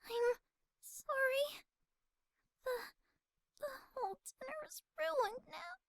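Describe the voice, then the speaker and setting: A woman's voice: slow, gravelly, dry recording, clean studio sound, exaggerated voice. A woman voicing a timid, sensitive young female pegasus pony for cartoon fan fiction, speaking hesitantly in a delicate voice. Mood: tearful, apologetic. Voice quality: soft, high, delicate voice. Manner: hesitant, stammering.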